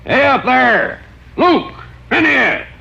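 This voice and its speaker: An earnest rancher in a vintage radio play. His gravelly voice is bright and forceful and moves widely in pitch. The recording sounds dull and old. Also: very animated, takes it slowly, heavily acted. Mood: calling out. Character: earnest, calm male rancher, dead man's brother. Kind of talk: old-time radio drama acting.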